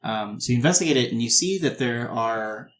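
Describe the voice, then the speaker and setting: A man acting as game master, improvising narration of a tabletop game in a halting, explanatory way. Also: medium pitch, lively intonation, fairly bright tone.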